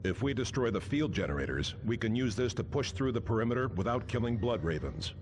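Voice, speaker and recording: An actor playing a stern, disciplined space marine commander in a video game, authoritative in manner. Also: male voice, medium pitch, big pitch swings, natural voice. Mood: measured briefing. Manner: authoritative, measured. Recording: noisy battlefield ambience behind.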